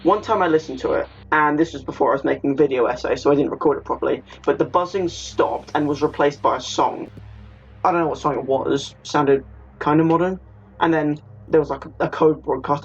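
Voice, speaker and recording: Higher-pitched man narrating, dull lo-fi audio. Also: breathy edge. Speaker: video essay narrator. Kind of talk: video essay narration, part scripted, part casual. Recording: dull lo-fi audio with faint noise.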